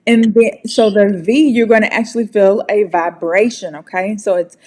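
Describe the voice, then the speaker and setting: A woman's voice: medium pitch, fairly even intonation, a little mellow. An American woman teaching English pronunciation in a casual online lesson, friendly and encouraging. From United States. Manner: friendly, encouraging, slow and deliberate.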